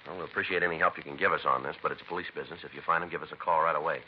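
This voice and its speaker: An actor playing a terse, deadpan American police detective in a low-key 1950s radio drama. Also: male voice, rapid-fire. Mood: businesslike. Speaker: police sergeant and narrator. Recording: dull, noisy 1950s radio recording.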